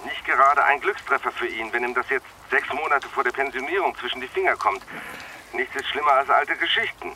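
Placating senior police officer, very bright sharp tone, slightly distant, faint noise, radio drama. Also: male voice, mid-range voice, brisk. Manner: polite, placating. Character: diplomatic, mildly condescending senior British police officer. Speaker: actor in a radio play. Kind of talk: German radio crime drama acting.

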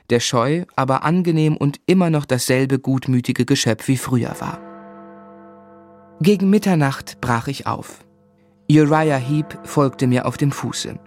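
A man plays a polite young gentleman and narrator in a radio play. His voice sits at a middle pitch, with a restrained, courteous manner. Faint music runs behind it. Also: natural voice. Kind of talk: German radio drama acting and narration.

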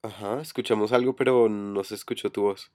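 A hoarse man with a cold, running an online voice chat meeting and speaking hesitantly with many fillers. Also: lowish voice, steady tone, brisk, a little mellow. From Colombia. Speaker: meeting coordinator. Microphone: computer headset for voice chat.

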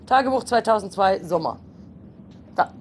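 A woman talks informally while she looks around someone's flat. Her pitch sits in the middle for a woman, with faint room noise behind the voice. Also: moderate pace. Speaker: participant exploring a stranger's flat. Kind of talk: casual chat. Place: indoors in a private flat.

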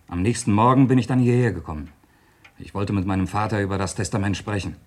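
An actor in a German radio crime drama playing a suspected, disinherited son, earnestly and emphatically justifying himself. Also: male voice, fairly low pitch, fast talker, a little mellow, natural voice. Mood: defensive. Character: disinherited son under suspicion, defensive and calculating.

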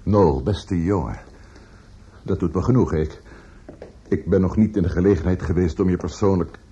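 An actor playing an older, formal gentleman in a radio play, paternal in manner. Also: low-pitched, moderate pace, highs cut off, close to an everyday voice. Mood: solemn, paternal.

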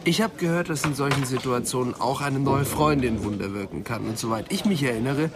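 An actor playing a sardonic, skeptical police detective who needles people, in a German radio crime drama. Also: male voice, mid-range voice, a little fast, background noise, close to an everyday voice. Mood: teasing.

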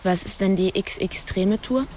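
A woman's voice: mid-range voice, moderate pace, darkish tone, a little hiss or hum, natural voice. An actress playing an uneasy, brooding young woman in casual, laconic radio drama dialogue. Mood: polite, dry. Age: young adult.

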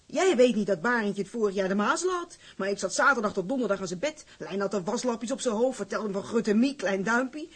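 A woman's voice: mid-range voice, animated, quick pace, few pauses, husky. An actress playing a lovestruck, outspoken young woman from a seaside town in a drama, with blunt, insistent, girlishly colloquial speech. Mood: indignant, fervent. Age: young adult. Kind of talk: dramatized dialogue acting.

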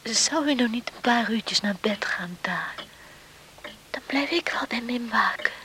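A young farmer's daughter in a radio play. Her voice sits on the high side, caring and concerned, with quiet firmness. The old recording carries faint noise. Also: animated, unhurried, rough recording. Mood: gentle, concerned.

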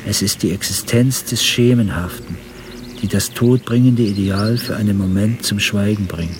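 An elderly man with a lowish voice in a radio drama. He speaks formally and pedantically, resigned and reflective, as if dictating a last letter. Clear music runs under his words. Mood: melancholic, peaceful. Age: 70s. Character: solemn, resigned man nearing eighty, dictating a testament.

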